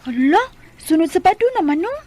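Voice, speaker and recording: Excitable fifteen-year-old village best friend, Cebuano Filipino accent, very high and animated, dramatic radio acting. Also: female voice, brisk, a little hiss or hum. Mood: chatty. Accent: Filipino, Cebuano-speaking. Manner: teasing, exclaiming, dramatic.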